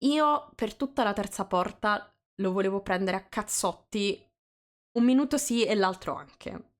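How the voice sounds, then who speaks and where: A woman's voice: mid-range voice, measured pace. A woman talks in a loose livestream chat with a mid-range voice. She hesitates, circles back on her phrases and sometimes drops into English, hosting in an informal, unscripted way. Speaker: host of a live visual novel reading.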